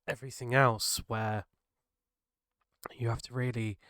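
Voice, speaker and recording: A British man hosting his own podcast, talking earnestly and haltingly, his voice gravelly from a cold. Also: medium pitch, little pitch movement, fairly bright tone, no echo, studio quality. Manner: earnest, halting, restarts mid-thought. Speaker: podcast host. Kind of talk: solo reflective podcast monologue.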